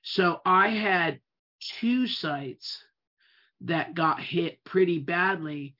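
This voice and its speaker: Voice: mid-range voice, extremely slow. A speaker whose first language is Italian, giving a casual talk on running a web business, stretching out key words for emphasis and often restarting phrases. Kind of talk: casual presentation. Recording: dull lo-fi recording.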